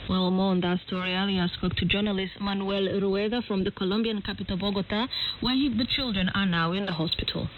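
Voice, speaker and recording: A woman's voice: medium pitch, fairly even intonation, a little fast. A woman presenting radio news, reading reports and putting questions, heard over shortwave radio. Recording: narrow-band noisy shortwave radio broadcast.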